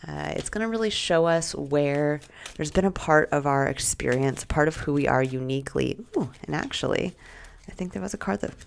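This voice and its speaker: Low gravelly woman, losing her voice. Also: a little fast. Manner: gentle, reflective, with fillers and soft asides. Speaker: tarot reader. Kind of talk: weekly tarot reading to camera.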